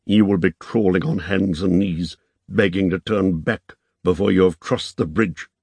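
Man acting a low-voiced, vindictive scorner. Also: steady tone, measured pace, darkish tone, breathy edge, dry recording, exaggerated voice. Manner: openly scornful, biting.

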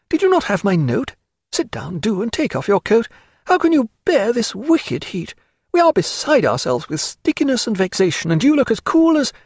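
A man's voice: high-pitched, a little mellow, husky, no echo, voice pushed up, exaggerated voice. A man voicing an effusive, vivacious society woman in an audiobook, chatty and fretful. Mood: effusive, welcoming. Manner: gushing, chatty, breezy. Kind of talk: audiobook character voice.

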